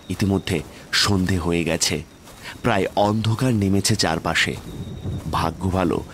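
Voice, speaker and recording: A man with a very deep voice narrates a horror audio story in the first person. His delivery is dramatic and builds suspense, and faint music plays underneath. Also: a bit bright. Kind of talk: scripted horror story narration with acted dialogue. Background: background music.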